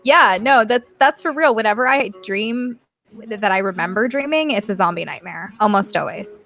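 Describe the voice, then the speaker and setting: A woman's voice: medium pitch, lively intonation, normal speed, a bit bright, faint music behind. A woman hosting a podcast, chatting casually in a thoughtful way and laughing easily. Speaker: podcast host. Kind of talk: casual chat.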